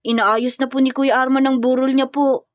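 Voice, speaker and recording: Lady reading as a polite teen boy, apologetic, kinda high voice, audio story. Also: little pitch movement, moderate pace, dry recording, studio quality, lightly acted. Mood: sorry, subdued. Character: apologetic, respectful teenage boy bearing sad news.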